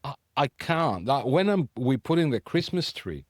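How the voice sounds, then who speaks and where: Animated man with a Greek accent. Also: moderate pace, dry recording, clean studio sound. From Greece. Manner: animated, rises high when worked up. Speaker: podcast co-host. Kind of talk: casual chat.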